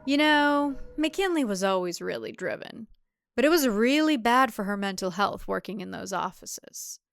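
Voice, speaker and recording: A woman's voice: medium pitch, animated, normal speed, creaky, no echo, clean studio sound. A woman voicing a smug, overly familiar man on a farm who talks in a boastful, folksy and pushy way. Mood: confiding.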